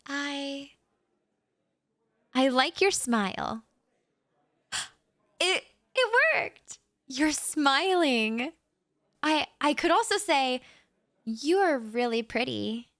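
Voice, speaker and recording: A woman's voice: high voice, fairly bright tone, dry recording, studio quality, heavily acted. A woman playing a shy, anxious young writer who rambles nervously with stammers and little laughs, talking straight to the listener in a roleplay audio.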